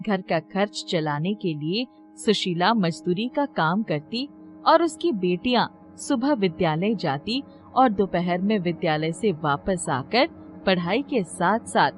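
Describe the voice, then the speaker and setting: A woman storyteller reading a scripted children's story in narration style. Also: medium pitch.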